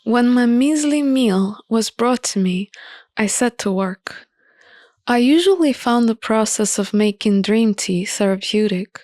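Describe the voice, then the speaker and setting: A woman's voice: mid-range voice, a little mellow, no room sound. A woman reading scripted first-person story narration for a visual novel playthrough.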